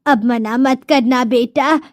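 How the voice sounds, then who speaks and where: Cartoon casting: kindly old village mother, woman performing, high voice, slow, frail and entreating, dry sound. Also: dry recording. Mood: motherly. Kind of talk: Hindi moral-story cartoon dialogue.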